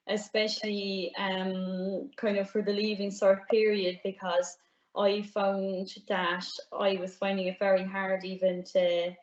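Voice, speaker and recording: Flat-toned young Irish woman, roomy audio. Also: mid-range voice. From Ireland. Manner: soft-spoken, with frequent ums and light laughs. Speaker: guest, music PhD student. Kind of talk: casual interview answers. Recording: roomy, dull remote audio.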